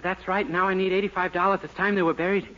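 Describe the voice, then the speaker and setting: A man's voice: high-pitched, level tone, fast talker, lightly acted. An actor playing a naive, easily panicked young man in a 1940s radio sitcom, flustered and boyish. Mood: wheedling. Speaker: lead comic character. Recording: dull old radio broadcast, loud hiss.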